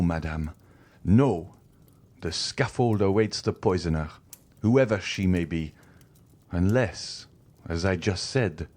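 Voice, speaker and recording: A man's voice: sits low, a little mellow. A man playing a stern, furious magistrate. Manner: accusing, rising to furious outbursts. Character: stern contemptuous magistrate husband.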